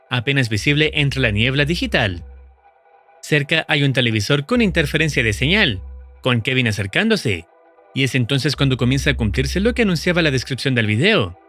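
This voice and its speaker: A man narrating in a steady, measured scripted voice-over, explaining the plot of a horror video series. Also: medium pitch. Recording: voice-over with faint music behind.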